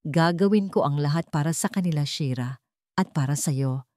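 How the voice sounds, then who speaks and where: A woman voicing a reserved, wealthy young man who is softening and devoted, in an audio romance. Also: sits low, normal speed, no room sound, clean studio sound, natural voice. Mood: earnest.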